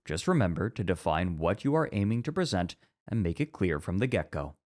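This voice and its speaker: Man playing a deep-voiced, strict professor, formal and pedantic. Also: very animated, darkish tone. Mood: earnest, instructive. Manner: formal, measured, pedantic lecturing. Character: strict, orderly male academic teacher, easily flustered by teasing. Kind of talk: scripted ASMR roleplay acting.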